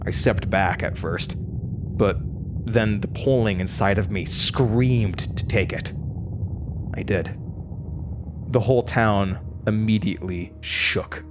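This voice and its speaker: A haunted young American man tells his horror story in a low, measured voice. The delivery is deliberate and intense, first-person audio drama narration with clear music underneath. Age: young adult. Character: haunted young male college student recounting horrors.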